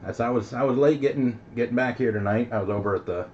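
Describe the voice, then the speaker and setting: A man's voice: medium pitch, fast talker, a little mellow. A middle-aged man chatting casually and in a folksy, easygoing way as host over CB radio. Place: home radio base station. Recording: dull, noisy CB radio audio.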